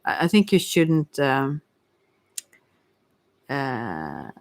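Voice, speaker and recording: Halting woman casually reading tarot, dry recording. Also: a bass voice, wildly swooping pitch, a little mellow, dry recording. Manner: halting, thinking aloud. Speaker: tarot reader.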